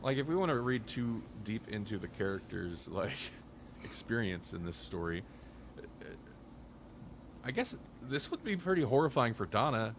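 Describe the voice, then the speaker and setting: A man with a lowish voice co-hosts a casual review podcast. He thinks aloud and hedges his points as he goes. There is some noise behind his voice. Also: sing-song, normal speed. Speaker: podcast co-host.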